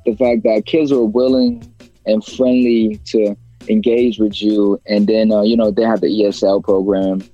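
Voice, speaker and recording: A man's voice: fairly low pitch, little pitch movement, moderate pace, warm tone, muffled recording, faint music behind. A young man from China, a rapper as interview guest, talking casually in a rambling way with many fillers and restarts.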